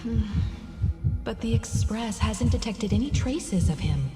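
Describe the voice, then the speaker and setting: Game role: anxious, hopeful crewwoman, lively melody, reverberant sound over music. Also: a little high, measured pace. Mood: concerned. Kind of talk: dramatic video game voice acting. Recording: echoey reverberant hall sound under music.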